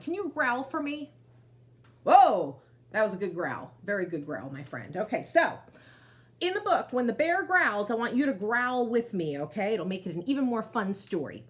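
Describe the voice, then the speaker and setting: A woman storyteller with a slightly high voice and very animated intonation. She reads to children expressively and addresses them directly in chatty, interactive asides.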